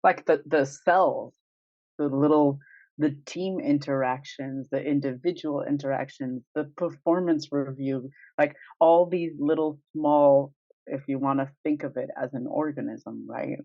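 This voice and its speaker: A woman's voice: a bass voice, measured pace, no room sound. A woman co-hosting a podcast in casual conversation, thoughtfully affirming and rephrasing what she hears, on dull audio. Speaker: podcast co-host asking questions. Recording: dull, dry, band-limited audio.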